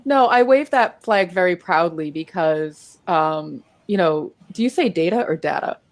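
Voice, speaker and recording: A woman's voice: on the low side, animated, a little slow, no echo. A woman with a Boston accent, a guest explaining predictive analytics in a casual, self-deprecating interview.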